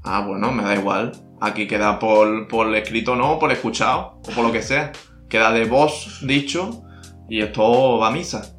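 A man's voice: on the low side, normal speed, a bit bright, lightly acted. A man playing a brash, touchy male reggaeton singer, full of slang. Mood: defensive. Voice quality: slangy, keeps saying brother, prickly. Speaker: guest artist. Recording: roomy with faint music.

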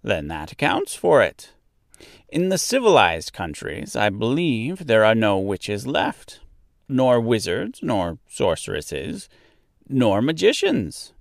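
A little old woman from a storybook, a good witch with a calm, kindly temper, acted out by a man. The delivery is slow and stretched out, swinging widely in pitch, with some creak in the voice. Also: no echo, studio quality, full character voice. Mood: patient, assured. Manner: deliberate, stretched-out, explanatory phrasing. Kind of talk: storybook character voice.

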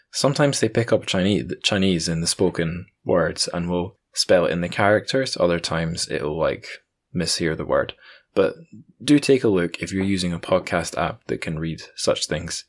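A man hosting a podcast in a casual, chatty way with wry asides. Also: deep voice. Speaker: podcast host.

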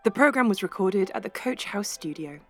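A woman reads a scripted announcement. Her pitch is average, and music plays softly behind her. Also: expressive, a little fast. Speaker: credits announcer. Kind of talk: scripted narration.

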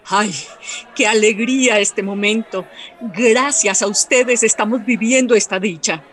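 An actress with a Colombian accent playing a humble, grateful and joyful women's activist in a radio drama. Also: female voice, mid-range voice, a little slow, faint music behind, close to an everyday voice.

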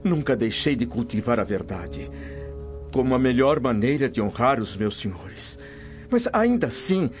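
A man's voice: medium pitch, a little mellow. A man with a Brazilian accent playing a devout, dignified freed slave held prisoner, solemn and formal in a radio soap. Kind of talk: radio soap acting in Portuguese. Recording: muffled radio drama with music underneath.

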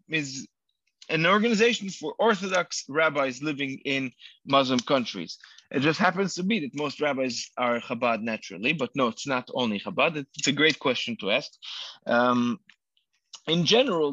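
Hesitant rabbi with a Hebrew-accented English. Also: male voice, fairly high pitch, a bit bright, dry recording. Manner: hesitant, many fillers and restarts. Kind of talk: interview answers. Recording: dull lo-fi dry sound.

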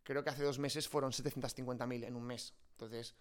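A man's voice: medium pitch, little pitch movement, fairly quick, clean tone. A man from Spain with a Peninsular Spanish accent, telling stories informally and colloquially as a podcast guest. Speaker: podcast guest, startup co-founder. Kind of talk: improvised conversational interview.

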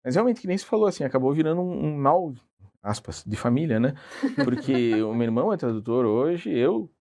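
A man's voice: medium pitch, expressive, brisk, a little mellow. A man, a translator telling his story in an interview, hesitant and self-deprecating with many restarts. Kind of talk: relaxed conversational storytelling.

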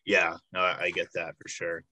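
A young male host from Illinois runs a casual interview and keeps it friendly and chatty, full of "like" and "um". His voice sits a bit low but sounds very bright and forward. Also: monotone, moderate pace. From Illinois, United States. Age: 20s. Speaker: podcast host.

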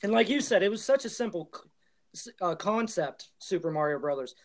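A man's voice: sits high, expressive, fairly quick. A man co-hosting a casual film podcast, chiming in with brief, wry asides. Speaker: podcast co-host. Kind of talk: casual chat about films.

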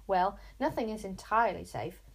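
A woman voicing a hot-tempered boy wizard with no magic, hissing and shouting. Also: medium pitch, big pitch swings, normal speed, husky. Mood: bossy. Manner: hissing, spitting, shouting in anger.

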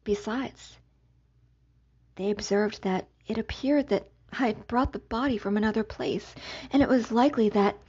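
A woman reading a gothic novel aloud as scripted narration, in a very breathy, whispery voice with measured pauses between phrases. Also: fairly high pitch, darkish tone, dull audio. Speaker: audiobook reader, podcast host.

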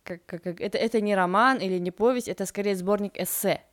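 Female podcast co-host, mid-range voice, halting and informal. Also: mid-range voice. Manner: hesitant, many fillers and restarts, animated when quoting. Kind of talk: casual book discussion.

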